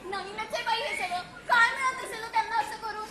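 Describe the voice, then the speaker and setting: A woman's voice: very high-pitched, fairly quick, a crowd in the background. An actress playing a chatty, doting and naively curious mother of a soldier in a stage comedy. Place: theatre stage. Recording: echoey, noisy stage with audience.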